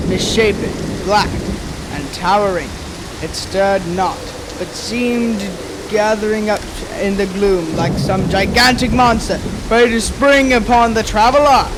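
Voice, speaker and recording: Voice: medium pitch, a little slow, heavy background noise, close to an everyday voice. A young student narrating a ghostly tale for a school radio play in a formal, dramatic storytelling manner. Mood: suspenseful. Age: child or young teen.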